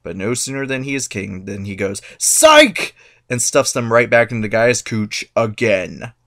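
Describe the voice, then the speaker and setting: A man's voice: on the low side, moderate pace, a bit bright. A man giving a comic dramatic reading of myths, sarcastic and irreverent. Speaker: narrator.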